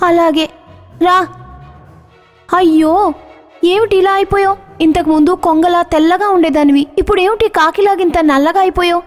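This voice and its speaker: An actress as a fretting cartoon village mother, high-pitched and full of dismay. Faint music sits behind her. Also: normal speed, pauses often. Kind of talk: animated moral story dialogue.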